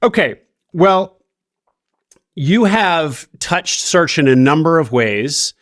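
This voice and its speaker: A man's voice: fairly high pitch, lively intonation, slow pace, fairly bright tone, no echo, studio quality. A man hosting a podcast interview, talking conversationally with emphatic rises on key words. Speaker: podcast host.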